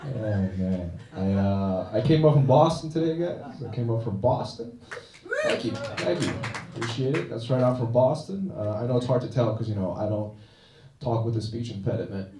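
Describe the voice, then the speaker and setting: A man's voice: medium pitch, normal speed, roomy sound, distant mic, background noise. A man doing a stand-up comedy set with a dry, self-deprecating delivery and frequent "uh" restarts, recorded on a comedy club stage. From China.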